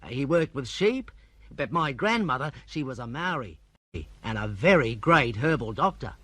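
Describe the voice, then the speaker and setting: A man's voice: medium pitch, very animated, normal speed, pushed and bright, no room sound. An actor playing a smug, genial man posing as a celebrated author, suave and politely effusive, in a radio drama. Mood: teasing, boastful. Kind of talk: British radio drama acting.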